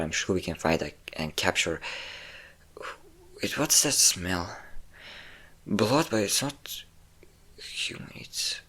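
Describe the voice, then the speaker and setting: A man's voice: sits low, fairly bright tone, heavily acted. A man playing a possessive, obsessive centuries-old vampire in a dramatic romantic audio roleplay, intense and theatrical in delivery. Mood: bitter, angry, then alarmed. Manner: intense, theatrical, swinging between tender and threatening. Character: possessive obsessive five-hundred-year-old male vampire lover, menacing, volatile.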